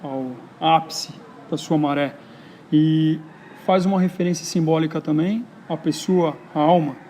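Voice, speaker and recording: A man from São Paulo with a São Paulo accent, speaking as a poet interviewed in a park in a reflective, halting way full of hesitations. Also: on the high side, steady tone, mellow, slight background noise. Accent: São Paulo Brazilian Portuguese. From São Paulo, Brazil. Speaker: poet and writer, interview guest. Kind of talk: casual interview conversation. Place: outdoors in a park.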